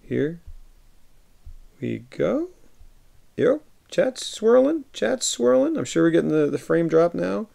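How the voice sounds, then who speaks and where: A man in his thirties from the United States, a livestreamer in a room at home, reading viewer messages aloud and answering warmly through a webcam microphone. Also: high voice, sing-song, slow pace, creaky, pauses often. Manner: reads viewer messages aloud and replies warmly, often laughing. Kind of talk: casual chat. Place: home room with shelves of films.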